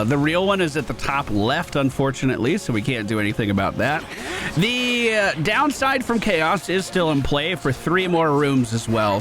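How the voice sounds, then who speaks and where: A man giving casual, chatty gameplay commentary as a streamer, informal in his wording. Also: fairly high pitch. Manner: chatty, informal, reads game text aloud. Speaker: gaming streamer.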